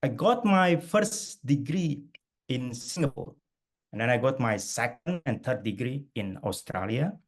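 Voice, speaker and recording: A man's voice: medium pitch, gravelly. A middle-aged man from Indonesia giving a webinar talk over a video call, with many fillers and restarts. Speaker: guest speaker. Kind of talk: webinar presentation.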